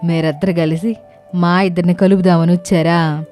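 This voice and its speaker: A woman voicing an affectionate older mother-in-law in an animated village story, speaking in rustic, colloquial phrases over music. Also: fairly low pitch, normal speed, close to an everyday voice. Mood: resigned. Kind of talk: acted dialogue in animated comedy story. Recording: music under the voice.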